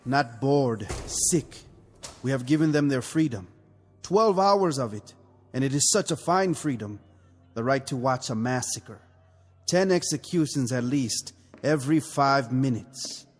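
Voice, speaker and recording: An actor playing a conscience-stricken revolutionary officer, sardonic and brooding, in a mid-century television drama. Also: male voice, medium pitch, lively intonation, measured pace, close to an everyday voice. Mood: bitter, sardonic. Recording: old TV soundtrack, reverberant, music behind.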